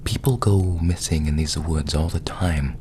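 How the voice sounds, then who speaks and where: A man playing a polite, nosy bookshop delivery man with an ominous edge, in a casual, slightly halting ASMR roleplay style. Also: rumbling low. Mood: curious, ominous. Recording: clearly noisy background.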